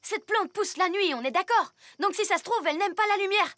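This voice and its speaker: A woman's voice: very high-pitched, animated, brisk, heavy background noise. A woman playing a sensible six-year-old superhero boy in a children's cartoon, earnest and cautioning. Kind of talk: animated children's cartoon dub. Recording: loud cartoon music behind.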